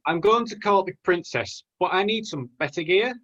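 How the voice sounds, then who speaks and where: A man's voice: sits high, expressive, moderate pace, fairly bright tone, lo-fi sound. A man playing an eager, earnest poor young lad in a rhyming pantomime. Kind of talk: rhyming amateur pantomime acting.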